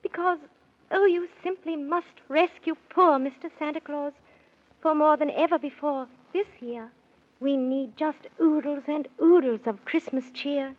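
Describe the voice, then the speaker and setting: A woman's voice: high-pitched, a little slow. An actress playing a good fairy-tale queen who gives reassuring instructions in theatrical rhyme for a 1930s children's radio serial. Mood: reassuring, instructive. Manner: theatrical, sing-song rhyming instruction. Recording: dull vintage 1930s radio recording.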